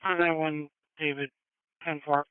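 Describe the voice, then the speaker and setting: A man's voice: a little high, little pitch movement, measured pace. Man on tinny emergency radio. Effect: two-way radio. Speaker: emergency services radio operator. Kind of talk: terse procedural radio traffic. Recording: tinny scanner radio with faint noise.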